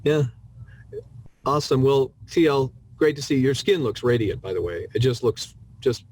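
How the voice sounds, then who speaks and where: A man's voice: mid-range voice, animated, normal speed, darkish tone, breathy edge. A man hosting an online company meeting informally, in a complimentary and joking manner.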